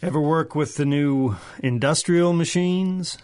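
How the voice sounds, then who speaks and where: An actor playing an irritable, slangy sidekick to a small-time swindler in a 1930s-style radio drama. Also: male voice, on the high side, measured pace, darkish tone, close to an everyday voice. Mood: businesslike. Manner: blunt, slangy.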